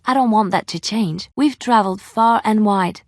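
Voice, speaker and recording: An actress playing a gentle, wistful young bard in a video game, speaking in a measured, tender way. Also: female voice, medium pitch, no echo, clean studio sound, natural voice. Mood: tender, earnest. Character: gentle romantic young female bard adventurer, wistful but resilient. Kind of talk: video game character dialogue.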